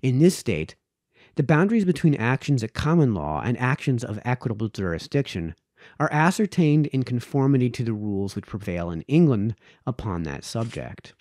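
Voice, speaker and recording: A man reads formal prose aloud in a measured, deliberate way, phrase by phrase, close to the microphone. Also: medium pitch, warm tone, dry recording. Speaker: reader, host of a read-aloud series. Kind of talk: reading aloud from a book of formal essays. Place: small home office, indoors. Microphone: close boom-arm podcast microphone, headphones.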